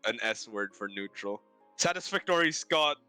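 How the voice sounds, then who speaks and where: A man in casual group banter on a stream, joking and excitable. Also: mid-range voice, normal speed, clean tone, faint music behind, rough recording. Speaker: voice-reading co-streamer.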